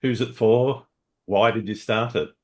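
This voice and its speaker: A man with a low, deep voice hosts a photography interview podcast. He reads his introduction from a script, then settles into friendly, relaxed questions for his guests. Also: unhurried. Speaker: podcast host.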